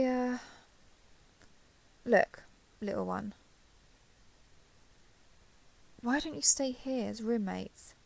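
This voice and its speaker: A young woman playing a caring giant dragon girl in an intimate audio roleplay, speaking to the listener in a gentle, reassuring, slightly hesitant way. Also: fairly high pitch, creaky, dry recording. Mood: earnest, coaxing.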